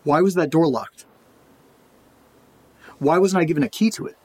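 A man acting as an uneasy, curious character alone at night in a creepy house, in a halting audio drama monologue, muttering to himself with a husky voice. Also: fairly high pitch, natural voice. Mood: troubled, puzzled.

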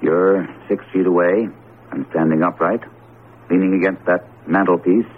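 A low-pitched actor playing a male professor in a seventies radio drama, skeptical but genial. He reasons aloud in a wry, conversational way. The broadcast is narrow and dull, with noticeable hiss. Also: fairly even intonation, normal speed, natural voice. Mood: puzzled, reasoning. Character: skeptical, genial male psychology professor, pipe-smoking friend. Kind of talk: 1970s radio drama acting and first-person narration. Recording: noisy, band-limited 1970s radio broadcast recording.

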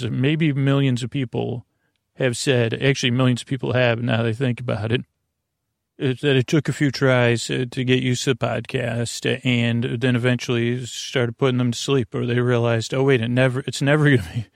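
Meandering, creaky-voiced man hosting a bedtime podcast. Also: medium pitch, no echo, clean studio sound. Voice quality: creaky, gravelly. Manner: meandering, halting, trails off mid-thought; deliberately dull, gently silly. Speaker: podcast host. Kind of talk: rambling bedtime podcast monologue.

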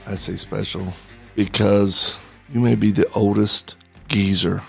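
A man's voice: on the low side, steady tone, slow pace, gravelly, husky, soft background music. A man hosting a podcast in a studio, chatting casually with a guest in a joking, blunt and direct way. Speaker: podcast host. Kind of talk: casual interview chat.

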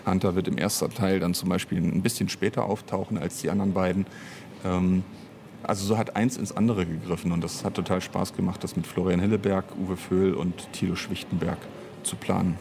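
A low-pitched man explains things at length in a casual interview. He often breaks off and restarts his sentences. There is some noise behind him. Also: fairly even intonation, fairly quick, vocal fry, darkish tone. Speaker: interviewed audio drama author and director. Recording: noticeable background noise.

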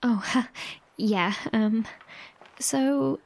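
A woman's voice: fairly high pitch, very slow, gravelly, exaggerated voice. A woman acting in an audio drama as an anxious, caring radio host to survivors, with a hesitant manner. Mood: awkward, apologetic.

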